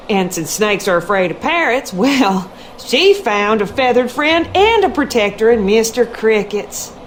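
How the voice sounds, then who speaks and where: Actress as a high-voiced, wistful Southern wife. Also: female voice, a little hiss or hum, close to an everyday voice. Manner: genteel, plaintive, drawn-out. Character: wistful, genteel Southern wife who dotes on her dolls. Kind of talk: video game character acting.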